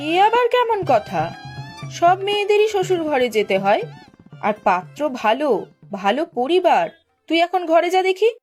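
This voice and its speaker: A protective village mother, voiced by a woman in a cartoon, firm and reproachful in a high, expressive voice. Her comes through, with faint music underneath. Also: moderate pace. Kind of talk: cartoon voice acting.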